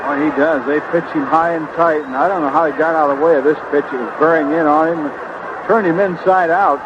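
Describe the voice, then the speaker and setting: A man's voice: a little high, steady tone, fairly quick. A man giving conversational color commentary on a live radio baseball broadcast. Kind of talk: conversational live game analysis. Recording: noisy, dull vintage radio broadcast. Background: outdoor stadium ambience.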